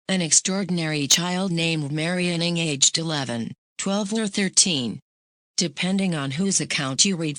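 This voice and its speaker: A woman's voice: on the low side, a bit bright, dry recording. A woman reading a scripted passage aloud as an English exam practice example.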